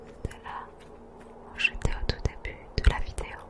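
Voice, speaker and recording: A woman softly whispering ASMR-style commentary over a video game, unhurried. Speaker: ASMR gaming YouTuber.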